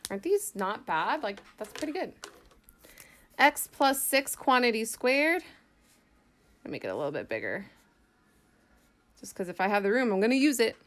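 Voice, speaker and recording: A woman's voice: fairly high pitch, very animated, normal speed, long pauses. Woman teaching math in a casual, step-by-step way. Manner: casual, step-by-step explaining with self-talk. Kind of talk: informal worked-example math lesson, thinking aloud.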